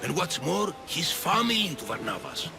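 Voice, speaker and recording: A man's voice: on the high side, sing-song, moderate pace, gravelly, music in the background. An actor playing a frank, disapproving man who gives out a task in a video game cutscene. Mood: frank, concerned.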